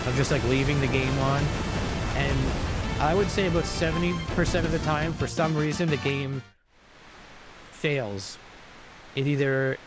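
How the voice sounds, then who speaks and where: A man giving casual, rambling, explanatory commentary as he plays through a video game. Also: a little high. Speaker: gameplay commentator. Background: game music.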